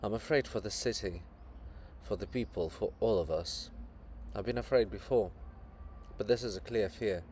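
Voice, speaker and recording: A man voicing a troubled princess monument, soft, grave and earnest, in a dramatic reading. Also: lowish voice, moderate pace, slight background noise, voice pushed down, close to an everyday voice. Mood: afraid, grave.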